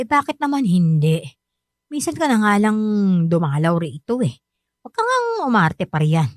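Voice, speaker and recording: A woman's voice: medium pitch, no echo, clean studio sound, close to an everyday voice. An actress playing a middle-aged mother in a radio drama, firm, coaxing and insistent.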